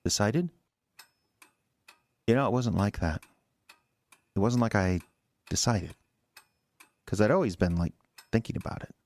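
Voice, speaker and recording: An actor in an audio drama playing a resentful, defensive husband who stammers and rambles in a halting, wounded way. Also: male voice, lowish voice, expressive, a little mellow. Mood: brooding, resentful. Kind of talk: naturalistic audio drama acting.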